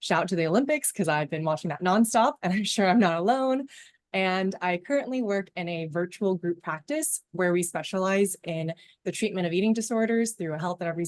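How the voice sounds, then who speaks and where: A woman from Los Angeles speaking as a dietitian on an online panel, bright and enthusiastic. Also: on the low side. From Los Angeles, California. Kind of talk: panel discussion on video call. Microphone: video call.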